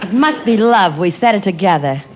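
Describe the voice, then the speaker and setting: An actress playing a flirty, scheming, unfaithful wife in an arch, melodramatic 1940s radio comedy style. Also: female voice, medium pitch, lively intonation, moderate pace, highs cut off, natural voice. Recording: noisy old radio recording.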